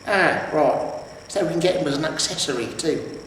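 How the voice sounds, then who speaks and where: A man's voice: a little high, normal speed, fairly bright tone, natural voice. A man voicing a dim, opportunistic police corporal in a storybook, blunt and cheekily matter-of-fact. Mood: suspicious, scheming. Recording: roomy, echoing, distant mic with noise.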